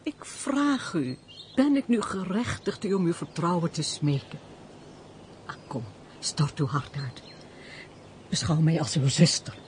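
A woman acting a lively, compassionate countess in a Dutch radio play, with formal, expressive, theatrical speech. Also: low-pitched, measured pace, long pauses. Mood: earnest pleading. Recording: noisy old radio play recording.

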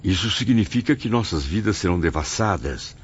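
A Brazilian actor playing a curious, easily troubled newcomer in a radio novel, questioning in a hesitant, dramatic way. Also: male voice, deep voice, lively intonation, a little fast, slightly rough, exaggerated voice. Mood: puzzled curious. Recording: dull lo-fi, faint music.

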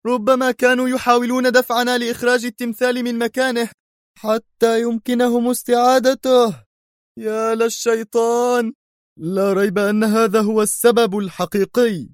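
A shrill, furious collector who shouts every line in an explosive, high-strung way, as a male narrator puts him on. The voice leaps high above his usual pitch and stays loud. It is a dry, close audiobook reading. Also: little pitch movement, normal speed, smooth, no echo. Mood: angry, agitated. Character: furious excitable foreign male art collector.

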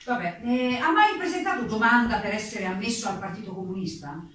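A woman's voice: on the high side, expressive, brisk, a bit bright. A woman playing a male prosecuting investigator in radio theatre, persistent, formal and probing. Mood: pressing, accusatory. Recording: very echoey distant room, faint noise.

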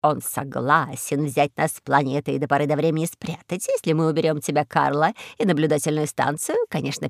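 A woman voicing a sly, smug male eagle villain with a sugary, unhurried manner in a children's story. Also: sits low, creaky, no echo, clean studio sound, pitched below the performer's own voice. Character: sly, sweet-talking male eagle tyrant, smug schemer.

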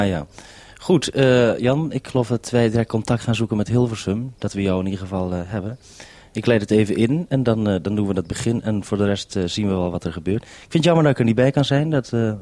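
Low, mellow radio man. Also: fairly quick, no echo. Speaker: radio reporter and programme maker. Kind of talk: informal radio coordination talk.

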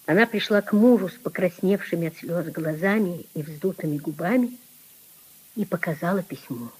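An actress narrating a tragic literary story in the style of a 1950s Soviet radio drama. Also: female voice, on the low side, moderate pace, darkish tone, breathy edge, low-quality recording. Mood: solemn, tender.

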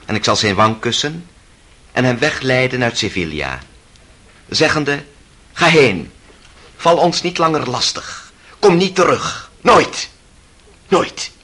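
An actor playing a brooding, sardonic intellectual, a tormented sceptic, with husky intensity in a radio drama. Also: male voice, mid-range voice, lively intonation, takes it slowly, pauses often, pushed and bright, full character voice. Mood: fervent.

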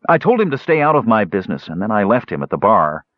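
A man's voice: lowish voice, sing-song, fast, no echo, muffled recording. A man voicing a stubborn young dwarf nephew in a fantasy audiobook, sullen, blunt and defensive. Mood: regretful. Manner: belligerent, blunt, informal.

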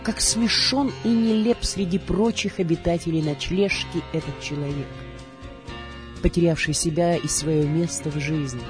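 Radio narrator for a theatre programme, medium-pitched scripted reading, clear music bed behind. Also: fairly even intonation, moderate pace.

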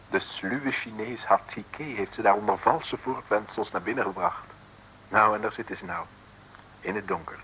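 Low-voiced wry radio narrator, a man. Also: a bit bright. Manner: chatty, drily ironic. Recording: noisy old radio recording.

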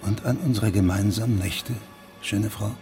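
A weary evasive judge, gravelly voice, measured radio drama talk, music behind. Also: male voice, lowish voice, fairly even intonation, dark tone. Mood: measured, defensive. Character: weary, evasive male judge leaving a village. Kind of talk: German radio drama acting.

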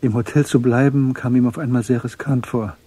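A man narrating a crime radio drama in a sober, measured storytelling style with a husky voice. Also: mid-range voice, fairly even intonation, dark tone, close to an everyday voice.

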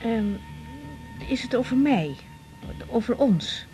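An actress playing a young Dutch doctor, gentle, attentive and a little tentative, in a radio drama. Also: female voice, fairly high pitch, expressive, slow pace, over music.

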